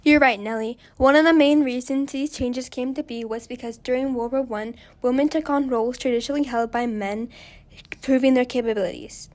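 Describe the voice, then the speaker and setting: A woman's voice: sits high, fairly even intonation, fairly quick, darkish tone, natural voice. A woman playing an upbeat, polite host of a 1920s women's rights radio show, reading from a script.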